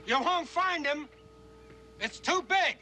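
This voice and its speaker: Forceful, bitter husband, sharp and high. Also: male voice, expressive, takes it slowly, faint music behind, full character voice. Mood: angry, bitter. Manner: forceful, argumentative. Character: bitter, stubborn ex-sea-captain father and husband. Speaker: actor in a TV western series. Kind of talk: 1960s TV western drama acting.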